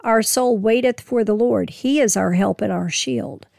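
A woman, losing her voice, reading scripture slowly and explaining it in a folksy, plain way. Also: medium pitch, vocal fry, darkish tone, no echo, clean studio sound. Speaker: Bible teacher. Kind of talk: casual Bible teaching with scripture reading.

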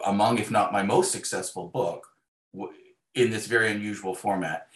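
A man's voice: fairly low pitch, fairly bright tone. A man speaking as an author in a casual, reflective interview, with a wry, self-deprecating manner. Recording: echoey room.

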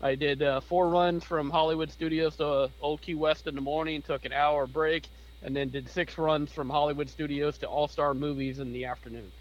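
A man's voice: on the high side, steady tone, moderate pace. Tired easygoing man on a dull line. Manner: easygoing, hesitant, many fillers. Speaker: bus driver, co-host. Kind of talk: casual chat.

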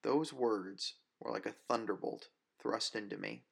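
Low, creaky male story narrator. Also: a little slow. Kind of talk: dramatic scripted first-person narration.

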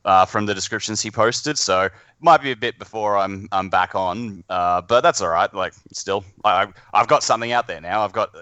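A man with a fairly low voice and a bright, forward tone chats informally. He talks haltingly, in loose conversational bursts. The sound is very dry. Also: clean tone, no echo, highs cut off. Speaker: podcast guest and amateur voice actor. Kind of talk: casual chat.

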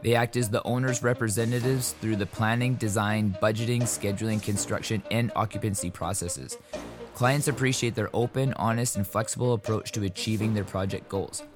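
Man, lowish voice, scripted ad reads, steady promotional tone, loud music bed underneath. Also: brisk. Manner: steady, promotional delivery of long lists. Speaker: podcast host reading sponsor messages. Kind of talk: scripted sponsor read. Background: loud background music.

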